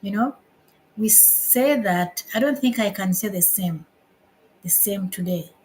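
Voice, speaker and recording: A woman from Uganda in her fifties, hosting a casual live stream from home, unhurried and repeating her phrases as she restarts them. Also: mid-range voice, a little mellow. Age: 50s. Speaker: livestream host.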